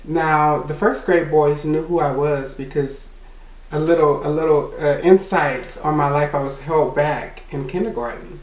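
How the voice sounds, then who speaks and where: A man with a high voice telling a personal story in a casual, rambling way, full of "you know" and quick laughs. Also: steady tone, reverberant, distant mic, rough recording. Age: adult. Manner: laughs between remarks. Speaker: vlogger.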